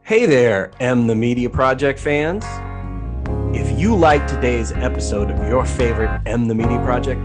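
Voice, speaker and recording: An announcer reading a scripted promotional message for listeners. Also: medium pitch. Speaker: podcast network announcer. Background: loud guitar music.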